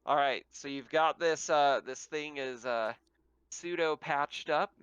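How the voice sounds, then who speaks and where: A man's voice: on the high side, takes it slowly, fairly bright tone, smooth, dry recording, highs cut off. A man acting as game master, casually talking players through a tabletop roleplaying game.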